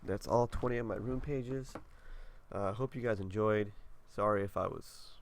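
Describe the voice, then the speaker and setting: A man's voice: fairly low pitch, normal speed, gravelly, a little hiss or hum. A young man with a congested, nasal voice, casually talking through his game setup with lots of fillers. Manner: laid-back, rambling, many fillers. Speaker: gaming video creator. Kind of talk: casual walkthrough commentary.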